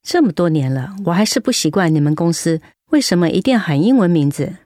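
Low-voiced caring nurse, Taiwanese Mandarin. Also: female voice, sing-song, quick pace, dry recording, clean studio sound. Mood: earnest, caring. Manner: earnest, gently admonishing. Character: caring, firm older-sister nurse looking after a relative. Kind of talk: radio drama acting.

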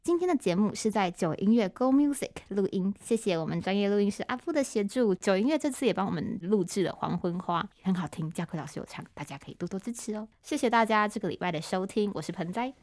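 A woman's voice: mid-range voice, animated, fast, hardly any pauses, a little mellow. A woman with a Taiwanese Mandarin accent hosting a conversational podcast interview from a recording studio. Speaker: podcast host.

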